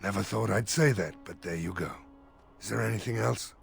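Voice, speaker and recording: A man's voice: on the low side, brisk, vocal fry, breathy edge, exaggerated voice. An actor playing an earnest village mayor rallying his militia in a fantasy video game. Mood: confident, relieved. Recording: game audio with music behind.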